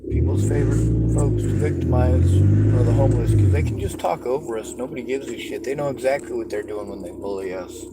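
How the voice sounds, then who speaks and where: A man's voice: lowish voice, lively intonation, brisk, creaky, few pauses. A man with a nasal voice talking casually while he drives, rambling and then ranting indignantly. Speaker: vlogger. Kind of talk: casual vlog while driving. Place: inside a moving car. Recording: loud car road noise.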